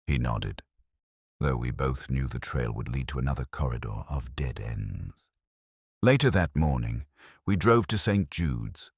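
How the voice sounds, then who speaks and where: A man narrating a detective mystery in the first person, in a measured, literary and wry manner. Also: a bass voice, slightly rough, no echo, clean studio sound.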